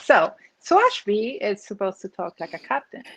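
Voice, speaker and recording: A woman from Peru with a Peruvian accent, an author reading her picture book aloud with expressive storytelling and asides. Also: mid-range voice, moderate pace, slight background noise.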